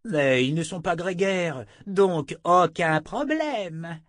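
Man voicing a fierce, proud female dragon, raised pitch. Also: animated, takes it slowly, a bit bright, dry recording, studio quality, heavily acted. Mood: scornful. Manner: haughty, spitting, growling threats. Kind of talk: audiobook character voice.

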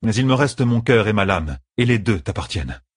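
A man's voice: lowish voice, sing-song, a little fast, breathy edge, no room sound, clean studio sound. A man voicing a devoted, proud soldier, formal and earnest, in an audiobook. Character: earnest, devoted male soldier, passionate and wounded in pride.

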